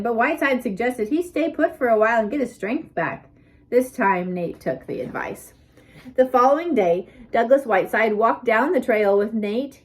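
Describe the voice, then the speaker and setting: A woman narrates a story from a book in a fairly high voice. She reads as a storyteller would, giving key words expressive emphasis and leaving pauses for drama. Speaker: audiobook reader.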